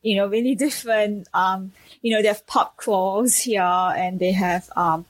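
A breathy, slightly husky woman in her thirties from Singapore answers interview questions. She talks hesitantly, with many fillers and restarts, and comes across as modest. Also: mid-range voice, steady tone, a little slow. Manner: modest, laughs shyly. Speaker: speech pathologist and clinic owner.

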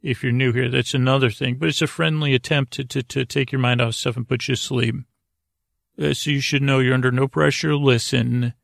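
A man with a creaky voice hosting a bedtime podcast, rambling along in a meandering, halting way and interrupting himself. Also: mid-range voice, fairly even intonation, no room sound, clean studio sound. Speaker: podcast host.

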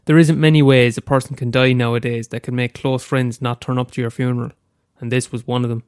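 A man's voice: medium pitch, little pitch movement, a little fast, clear, resonant tone, dry recording, clean studio sound. A young Irish man with a head cold, reading aloud a short story he wrote, after a hesitant casual introduction. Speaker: amateur storyteller.

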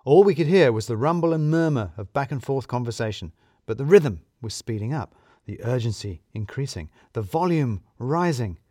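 Male narrator for comic fiction: mid-pitched, very expressive intonation, wry and self-deprecating, close dry sound. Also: moderate pace, dry recording. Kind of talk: scripted first-person comic story narration.

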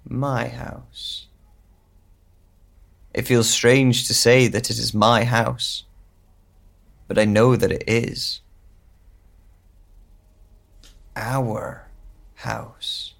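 A man's voice: mid-range voice, little pitch movement, very slow, creaky, pauses often, full character voice. An actor playing a bewildered, lonely man with lost memory in a hesitant, introspective audio drama monologue. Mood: searching, wistful. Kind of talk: slow first-person audio drama monologue.

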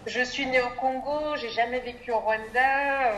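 A woman's voice: a little high, steady tone, moderate pace, a bit bright. Interview guest: woman, 30s, thoughtful and articulate, recorded in an echoey space with some noise. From Belgium. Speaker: interview guest, development NGO worker. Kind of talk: reflective personal interview answers. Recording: echoey room with clear background noise.

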